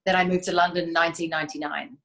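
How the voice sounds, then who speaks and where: A woman answering interview questions as a business founder, measured and reflective, sometimes restarting a phrase. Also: fairly low pitch, little pitch movement, a bit bright. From Australia. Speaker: guest, event company founder.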